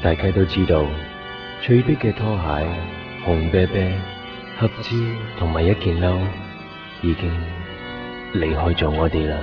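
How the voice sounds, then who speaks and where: A man's voice: sits low, a little slow. A man playing a solemn funeral officiant in a radio drama, delivering a ceremonious mock eulogy. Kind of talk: Cantonese radio drama acting. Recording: muffled 1990s radio recording, music behind.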